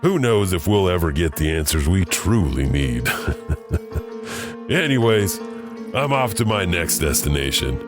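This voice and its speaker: A man doing a wandering janitor who tells creepy tales in an audio drama. His voice sits very low and rumbling, and he lets out wry little chuckles between facts. Music plays underneath him. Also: big pitch swings, a little slow, long pauses. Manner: chuckles to himself, wry asides. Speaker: narrator. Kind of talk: horror anthology narration.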